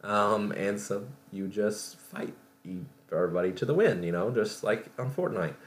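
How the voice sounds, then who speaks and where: A man hosting a podcast, talking casually about gaming news in a halting, rambling way, as if thinking aloud. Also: on the low side, expressive. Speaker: podcast host. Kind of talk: casual solo show talk about video game news.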